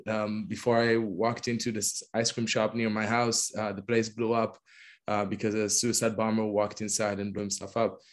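A man in his thirties from Israel with an Israeli accent, speaking as an interview guest, hesitant with many fillers and restarts. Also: fairly low pitch. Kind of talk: conversational interview.

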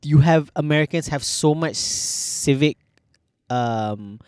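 A young man with a Malaysian English accent, chatting casually, opinionated and halting with frequent restarts. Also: a little high, darkish tone, smooth, no room sound, studio quality. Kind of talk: casual chat.

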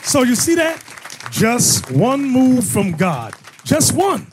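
A man's voice: extremely high pitch, huge pitch swings, slow pace, background noise. A man teaching a congregation as bishop, preaching with call-and-response questions and sudden emphatic bursts. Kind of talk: sermon-style teaching.

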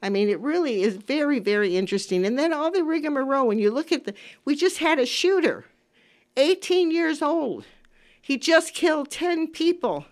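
A woman's voice: sits high, lively intonation, moderate pace. A woman in her seventies hosting a talk radio show, speaking casually and emphatically, repeating phrases for stress as she gives her opinions. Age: 70s. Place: radio studio.

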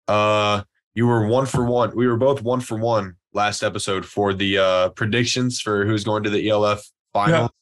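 Low-voiced man, relaxed. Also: normal speed, hardly any pauses. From Louisiana. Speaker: podcast co-host. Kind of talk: casual sports chat.

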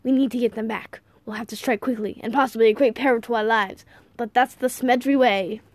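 Eccentric old grandfather played by a woman, urgent and exclamatory, quaint turns of phrase, raised pitch, storybook style. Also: steady tone, fairly quick, darkish tone, no room sound, close to an everyday voice. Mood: urgent, intent. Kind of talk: audiobook character voice.

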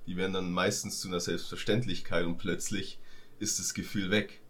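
A man's voice: deep voice, level tone, fairly quick, fairly bright tone. A young man reflecting thoughtfully in a casual podcast chat. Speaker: podcast co-host.

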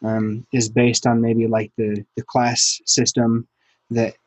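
Husky man asking musing questions. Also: medium pitch, completely flat delivery, slow, darkish tone, no room sound. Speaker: interviewer. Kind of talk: conversational interview.

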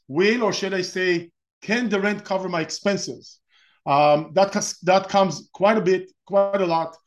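A man in his forties from Israel with an Israeli accent, hosting an investing webinar in a rambling, explanatory way with frequent restarts. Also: a little high. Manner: many restarts and fillers, explanatory. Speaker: webinar host. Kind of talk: webinar presentation.

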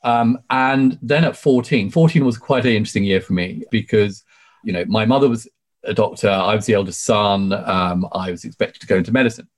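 Low-pitched British man, effusive and talkative. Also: brisk. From UK, born in Sri Lanka. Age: 40s. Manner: effusive, emphatic, talkative, frequent "you know". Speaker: podcast guest, barrister. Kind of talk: conversational interview storytelling.

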